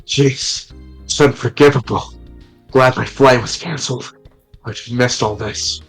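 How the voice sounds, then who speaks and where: Guy reading a blunt loyal friend, casual, swears a bit, normal pitch, soft music under. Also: fairly even intonation, measured pace, creaky, slightly rough, full character voice, low-quality recording. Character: loyal young male friend, blunt and eager. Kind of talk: reading a visual novel aloud on stream.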